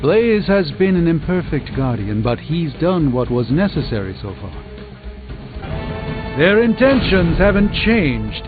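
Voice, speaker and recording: A grand, erudite male sorcerer from a video game, speaks with theatrical pomp and dry wit. His pitch moves in lively sweeps. The sound is narrow and phone-like, with clear music underneath. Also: a little slow. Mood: grave, resolute. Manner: pompous, theatrical, ornate diction. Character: erudite, pompous adult male sorcerer, dryly witty. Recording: muffled phone-like band, music behind.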